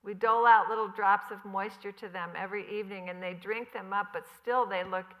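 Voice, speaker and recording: An earnest farm mother prays aloud, her tone pleading and sincere, as a woman reads her part. When she turns to her child she softens into a tender, reassuring voice. Her tone stays bright throughout. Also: medium pitch, steady tone, fairly quick, close to an everyday voice, low-quality recording. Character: devout farm mother praying earnestly, worried.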